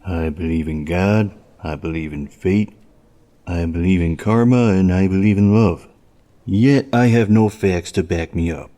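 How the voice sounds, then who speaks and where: A man acting as a stolid small-town police officer in an audio drama, measured and dryly understated, with a philosophical streak. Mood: earnest. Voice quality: low, mellow voice.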